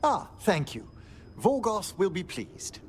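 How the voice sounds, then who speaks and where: High-voiced, courteous gentleman necromancer. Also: big pitch swings, slight background noise, full character voice. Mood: genteel, musing. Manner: formal, genteel, measured phrasing.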